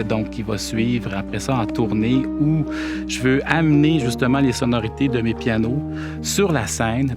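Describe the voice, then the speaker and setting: A man with a Québécois accent speaks in an easygoing, reflective way, often pausing mid-sentence as he looks for the right word. His voice sits in an ordinary middle register. Piano music plays clearly behind him as he talks at home. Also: medium pitch. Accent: Québécois French. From Quebec. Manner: thoughtful, searching for words with frequent pauses. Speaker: pianist-composer. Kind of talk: casual talk to camera. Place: indoors at home.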